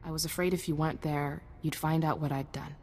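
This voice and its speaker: Actress as anxious informant, video game, very low for a woman, guilt-ridden, pleading, reverberant, faint noise. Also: fairly even intonation, fairly quick, a little mellow, lightly acted. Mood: guilty, afraid. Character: frightened, guilty female resistance informant. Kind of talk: video game cutscene acting.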